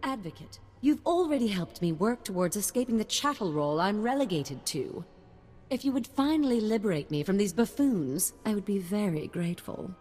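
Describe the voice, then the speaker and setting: A woman's voice: medium pitch, animated, normal speed, darkish tone, soft background music, natural voice. A woman voicing a proud, aggrieved noblewoman in a video game, haughty and articulate. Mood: resentful pleading. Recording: long hall reverb.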